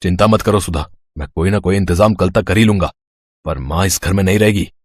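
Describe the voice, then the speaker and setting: A man voicing an irritable, curt married son and husband in a family story, with a slightly husky voice. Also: low-pitched, fairly quick, darkish tone, dry recording, clean studio sound. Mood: resolute. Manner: curt, scolding.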